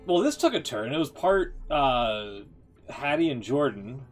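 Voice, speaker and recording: A man's voice: medium pitch, very animated, measured pace, soft background music. A man streaming a game, reading text aloud and commenting in a casual, musing, offhand way with some swearing.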